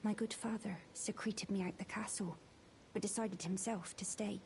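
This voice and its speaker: An actress playing a frail, courteous young noblewoman in a fantasy video game, hesitant and fearful, speaking softly in formal, old-fashioned phrases. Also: medium pitch, steady tone, moderate pace, a little hiss or hum, natural voice. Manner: hesitant, formal, archaic politeness. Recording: music behind the voice.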